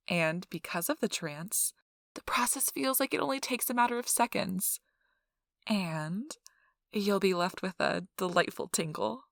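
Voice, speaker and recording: A woman's voice: lowish voice, fairly bright tone, no room sound, studio quality. A woman playing a cheerful, earnest donor recruiter in an ASMR role-play, with a polite, reassuring customer-service manner. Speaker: donor acquisition interviewer.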